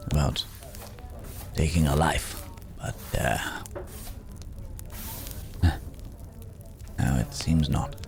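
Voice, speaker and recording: A man playing a weary, courteous werewolf traveler in an intimate fantasy ASMR roleplay, speaking in a halting, musing way with soft hums. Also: very deep voice, gravelly, full character voice. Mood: brooding, philosophical.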